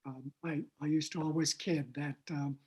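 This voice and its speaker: A man whose first language is Hindi moderates a panel discussion. His voice sits a little high for a man, and he muses aloud, hedging with "uh" and "um" as he goes. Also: steady tone, normal speed, darkish tone.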